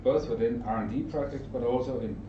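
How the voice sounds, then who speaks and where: A middle-aged man from Denmark with a Danish accent, giving an informal conference presentation in short, halting, explanatory phrases. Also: medium pitch, fairly even intonation. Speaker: trainer and consultant giving a presentation. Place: indoor event room with an audience. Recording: roomy, distant mic, narrow muffled sound, noisy.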